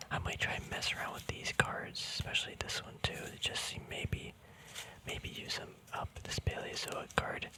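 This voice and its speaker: A man speaking in a soft, breathy whisper in a casual, relaxed ASMR style, thinking aloud close to the microphone. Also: poor audio. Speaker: ASMR video creator. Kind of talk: whispered ASMR, casual rambling card showcase.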